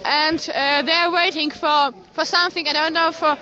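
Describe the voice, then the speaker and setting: A young Ukrainian woman with a Ukrainian accent and a high voice reports live into her phone from a cold street. Her English is halting, full of restarts. The sound is dull and lo-fi, with a loud crowd all around her. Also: steady tone. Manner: halting non-native English, many restarts. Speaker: citizen journalist. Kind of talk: live street stream commentary. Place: outdoors on a cold city street at barricades. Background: crowd of protesters.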